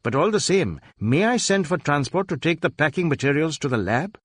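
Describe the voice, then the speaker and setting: A man voicing an earnest, deferential Indian police inspector in an audiobook, polite, careful and brisk. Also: on the high side, big pitch swings, husky, dry recording, clean studio sound, pitched above the performer's own voice.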